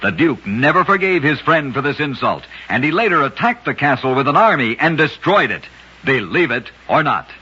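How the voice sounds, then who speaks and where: A man with a husky, raspy voice narrating odd facts as a scripted vintage radio host, with dramatic, emphatic delivery. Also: fairly high pitch, very animated, moderate pace, noisy background. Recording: dull lo-fi vintage radio recording.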